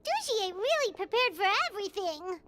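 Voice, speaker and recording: Squeaky, very high-pitched tiny fairy. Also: female voice, normal speed, very noisy. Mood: curious. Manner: squeaky, expressive, exclamatory. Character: tiny childlike fairy companion, excitable and indignant. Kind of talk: scripted video game voice acting. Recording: loud game music behind.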